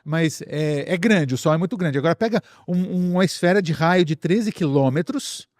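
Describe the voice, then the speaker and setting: A Brazilian man with a fairly high voice talks as a guest science expert. He explains casually, building ideas step by step and repeating phrases for emphasis. The sound is close and dry. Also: lively intonation, moderate pace, darkish tone, dry recording.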